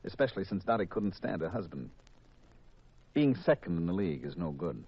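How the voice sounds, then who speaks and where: Hard-boiled American murderer, menacing and courteous, deep voice, muffled vintage radio sound. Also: male voice, big pitch swings, breathy edge, no echo, exaggerated voice. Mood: bitter confession. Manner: deliberate, hard-boiled, mock-polite. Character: cold, confessing killer, male insurance man, coolly menacing. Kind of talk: 1950s radio mystery acting. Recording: muffled, dull 1950s radio recording.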